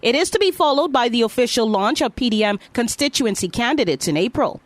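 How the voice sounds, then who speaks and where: A woman's voice: a little high, animated, a little fast, a little hiss or hum. A woman anchoring a scripted news bulletin, reading reports in a formal presenting style.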